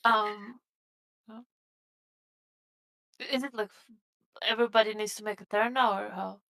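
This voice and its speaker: A woman's voice: mid-range voice, fairly even intonation, measured pace, creaky, sharp tone. A woman playing a tabletop game, talking casually and asking questions to check the rules.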